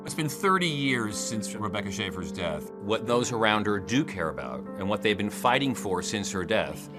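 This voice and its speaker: Low-voiced man reporting over guitar music. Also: big pitch swings, unhurried.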